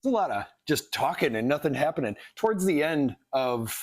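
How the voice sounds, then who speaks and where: A man's voice: fairly high pitch, sing-song, a little fast. A man hosting a casual live stream, muttering offhand asides as he works. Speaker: artist, live stream host.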